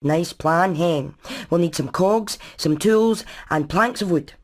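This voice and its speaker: A woman voicing a practical, clever engineer hen in an animated game, methodical and matter-of-fact. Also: on the low side, slow pace. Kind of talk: animated video game voice acting.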